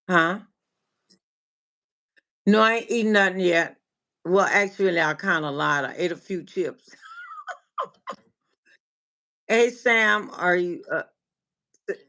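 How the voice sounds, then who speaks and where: A woman's voice: medium pitch, pushed and bright. A woman from Minnesota hosting a casual livestream. She chats and greets viewers from the chat, with frequent restarts and laughter. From Minnesota, USA. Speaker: livestream host and life coach.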